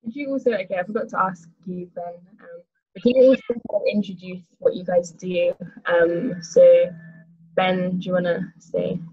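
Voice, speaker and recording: A woman's voice: lowish voice, fairly even intonation, normal speed, husky. A young woman with an English accent hosting a panel in a casual, hesitant, informal way. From small countryside town on the east coast of the UK. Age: young adult. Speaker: seminar host. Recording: muffled, lo-fi online call audio.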